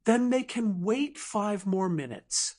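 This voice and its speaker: Woman playing a firm, slow-spoken executive in her fifties. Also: mid-range voice, animated, darkish tone. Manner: firm, guarded.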